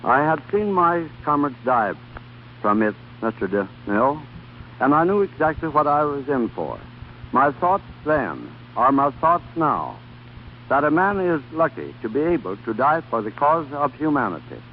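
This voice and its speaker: A man with a fairly high, lively-pitched voice speaks with sincere solemnity as a guest on a 1930s radio program. The recording is narrow and phone-like, with a faint hum behind it. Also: normal speed. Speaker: interview guest, former volunteer. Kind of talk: earnest recounting on 1930s radio.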